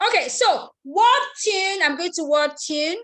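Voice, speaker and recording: A woman's voice: very high voice, animated, a little slow, a bit bright. A Nigerian woman, a native Igbo speaker, teaching an online class over a video call, explaining step by step, repeating herself and asking attendees questions. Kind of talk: live screen-share tutorial.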